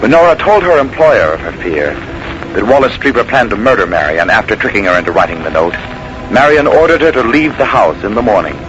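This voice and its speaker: A man's voice: lowish voice, sing-song, a little fast. A man narrating a 1940s radio drama in a grave, formal, dramatic style. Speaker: announcer, narrator. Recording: noisy vintage 1940s radio recording.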